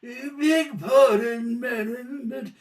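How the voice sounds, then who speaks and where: A man's voice: very high-pitched, steady tone, very slow, voice pushed up, exaggerated voice. A man playing a deferential, stuffy household butler in a radio play, formal and hesitant.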